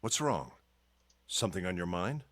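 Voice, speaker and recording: Calm older game character, low husky voice, deadpan, swings up and down a lot. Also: male voice, no echo, full character voice. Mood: composed. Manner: measured and unflappable, deadpan. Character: calm, composed older man, dry and knowing.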